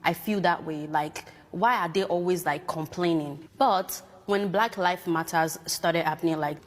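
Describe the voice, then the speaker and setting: A woman's voice: lowish voice, measured pace, long reverb tail. A woman who grew up in Africa, talking earnestly and reflectively in a casual reaction video, often acting out her own past thoughts. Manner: earnest, reflective, speaks in quoted asides.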